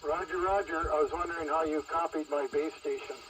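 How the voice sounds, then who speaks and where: Distant high-pitched man over two-way radio. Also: fairly even intonation, a little fast, a crowd in the background. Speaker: amateur radio contact. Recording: distant, very noisy crackly radio transmission.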